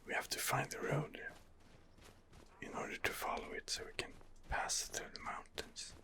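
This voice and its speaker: A man hosting an ASMR game playthrough, muttering hesitant commentary in a breathy whisper. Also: moderate pace. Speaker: gaming video host.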